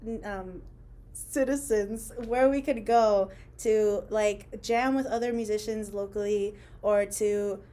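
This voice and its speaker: A woman's voice: medium pitch, steady tone, takes it slowly, mellow. A woman hosting a podcast interview, chatty and leaning on filler words. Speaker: podcast host. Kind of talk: casual interview chat.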